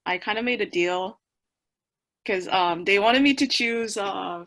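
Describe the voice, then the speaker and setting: A woman's voice: medium pitch, measured pace, a bit bright. A woman in her early twenties from South Korea, answering interview questions casually as a college student on a video call from a small room. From South Korea, moved to the US at eleven. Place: small bedroom, indoors.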